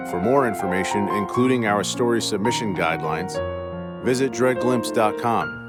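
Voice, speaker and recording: A man hosting a podcast and narrating a classic ghost story in a measured, theatrical way. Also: on the low side.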